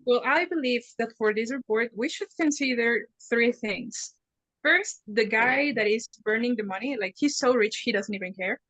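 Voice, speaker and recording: Bright, confident young woman. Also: a little high, moderate pace, dry recording, poor audio. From Mexico City. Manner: confident, joking. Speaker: exam candidate, high school teacher.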